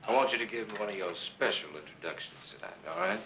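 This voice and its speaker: An actor playing a gentle, encouraging preacher in a screen drama, talking in a coaxing, affectionate way. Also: male voice, fairly low pitch, very animated, quick pace, vocal fry, highs cut off, background noise. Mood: teasing, friendly. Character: gentle, encouraging preacher man reassuring his brother. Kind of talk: naturalistic screen drama dialogue.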